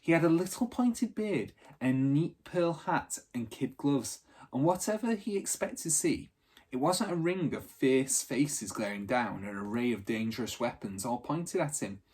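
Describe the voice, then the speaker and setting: A man narrating a children's storybook aloud in an expressive, teacherly reading style. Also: mid-range voice, moderate pace, breathy edge.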